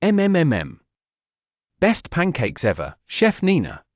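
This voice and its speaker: A kind storybook mother, acted out by a man, gently instructing a child. The delivery is encouraging and slow, with widely varied pitch. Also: wildly swooping pitch, no echo, dull audio, exaggerated voice. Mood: approving. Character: kind, encouraging mother guiding her young daughter.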